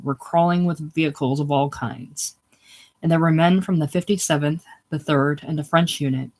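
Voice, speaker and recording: A man's voice: a little high, darkish tone. A man reading literary war fiction aloud as an audiobook narrator, now and then stumbling and rereading a phrase. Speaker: audiobook reader.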